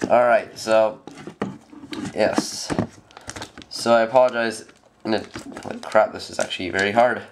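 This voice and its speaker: Teen boy with a cold, stuffed nose, low voice, slow rambling talk, noisy camera audio. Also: fairly even intonation, creaky. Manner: rambling, filler-heavy. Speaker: channel host. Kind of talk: casual card pack opening. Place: home room at a table.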